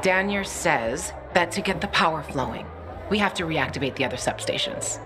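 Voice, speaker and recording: A woman's voice: low-pitched, animated, normal speed. An actress playing a tough, teasing young female fighter in a video game cutscene. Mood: brisk, wry. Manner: blunt, teasing. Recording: music and crowd behind.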